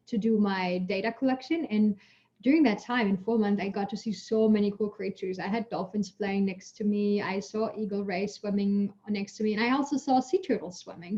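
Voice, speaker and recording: Lady scientist, chatty, lots of fillers, warm low-key tone, muffled call sound. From Germany. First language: German. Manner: lively storyteller, chatty with many fillers, laughs while talking. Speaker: guest scientist. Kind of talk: casual Q&A answers.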